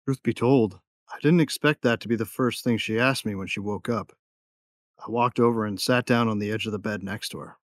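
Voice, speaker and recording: A man's voice: on the low side, fairly quick, dry recording, studio quality, lightly acted. A man playing a tough, brooding yet tender bounty hunter, telling his story in the first person in an audio drama. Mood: brooding, intimate.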